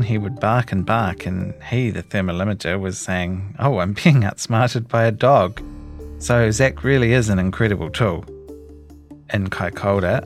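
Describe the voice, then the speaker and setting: A man with a New Zealand accent reads a scripted long-form news story in a measured, articulate storytelling delivery. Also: on the low side. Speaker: reporter reading his own long-form article. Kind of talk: scripted narration of a feature story.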